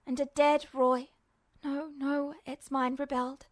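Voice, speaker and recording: A woman's voice: high-pitched, flat delivery, measured pace, dry recording, studio quality. A woman narrator reading fiction in a dramatic audiobook style.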